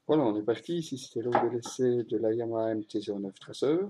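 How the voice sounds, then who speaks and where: A man's voice: medium pitch, quick pace, warm tone. A man narrating a motorcycle road test as a voice-over, in a matter-of-fact way. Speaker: motorcycle reviewer.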